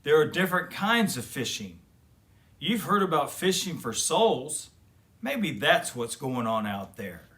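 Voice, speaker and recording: A man voicing a gentle, encouraging mother bear in a children's storybook. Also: a little high, sing-song, unhurried. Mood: musing.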